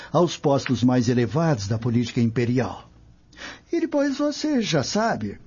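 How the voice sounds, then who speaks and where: Man acting a husky aging Roman patrician. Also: mid-range voice, mellow. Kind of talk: radio drama acting.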